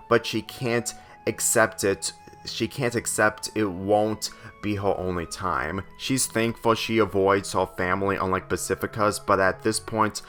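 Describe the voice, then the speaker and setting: A man narrating a written story aloud in a slightly halting way. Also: on the low side, a bit bright. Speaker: fan fiction reader.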